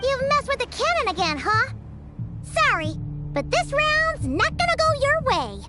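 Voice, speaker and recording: Game fairy sidekick: extremely high, animated, childlike, excitable and cheeky. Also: female voice, measured pace, full character voice. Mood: alarmed, defiant. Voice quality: squeaky, childlike. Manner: exclamatory, speaks of herself in third person. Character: small excitable floating fairy companion girl, childlike and cheeky. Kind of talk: video game voice acting. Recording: music behind the voice.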